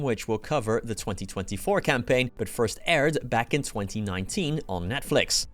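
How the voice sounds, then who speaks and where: A man presenting scripted sports news as the host of an online video. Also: medium pitch. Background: background music.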